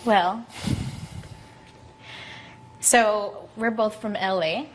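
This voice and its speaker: A woman's voice: mid-range voice, steady tone, very slow, slight background noise, low-quality recording. A young woman, the defendant on a TV court show, answering the judge directly. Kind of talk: courtroom testimony.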